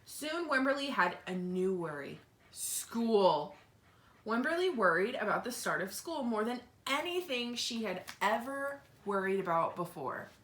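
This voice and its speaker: A woman's voice: fairly high pitch, a little slow. A woman teacher reading a picture book aloud to children, expressively, with casual explanatory asides. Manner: expressive, explains to young listeners. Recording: roomy, echoey home recording.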